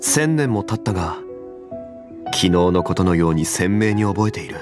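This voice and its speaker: An actor playing a calm, dignified immortal former god in a fantasy game, speaking in a composed and formal way. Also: male voice, low voice, moderate pace, music in the background. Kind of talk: Japanese game voice acting, dramatic dialogue.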